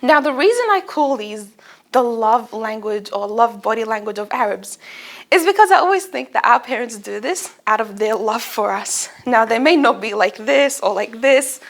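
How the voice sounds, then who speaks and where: A young woman with a fairly high voice gives a light, humorous speech about her own life. She is a native Arabic speaker. She tells it expressively, like a storyteller acting out small moments for her listeners. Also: moderate pace. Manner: expressive, animated storytelling with acted-out asides. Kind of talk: humorous personal speech to an audience.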